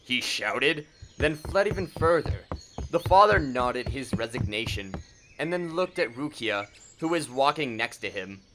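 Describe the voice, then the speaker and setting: A man's voice: mid-range voice, expressive, measured pace, sharp tone, a little hiss or hum, low-quality recording. A man reading scripted story narration for an audio drama.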